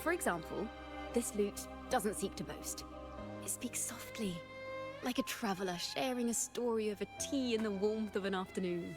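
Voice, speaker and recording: An actress playing a gentle young woman musician in a video game, speaking in measured, lyrical phrases over music. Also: medium pitch, natural voice. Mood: thoughtful, serene.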